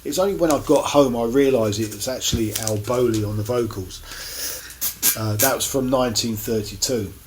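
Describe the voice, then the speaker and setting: A man giving a casual, unscripted show-and-tell to camera as a record collector, in a halting, rambling way with many pauses. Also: mid-range voice, darkish tone, slight background noise, low-quality recording. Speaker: record collector presenting finds on his channel.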